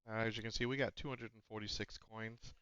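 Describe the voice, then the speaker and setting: A man's voice: on the low side, steady tone, quick pace, a bit bright, clean tone, no echo, dull audio. A man giving casual gameplay commentary on a headset mic, slightly nasal, rambling with fillers and restarts. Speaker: gaming channel host. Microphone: noise-cancelling headset.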